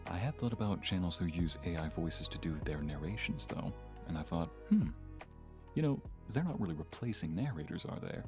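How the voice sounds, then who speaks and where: A man's voice: sits low, expressive, brisk. A man chatting casually as a guest on a livestream, with an easygoing, joking, conversational manner. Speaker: guest ASMR narrator on a stream. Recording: clear music behind the voice. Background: music.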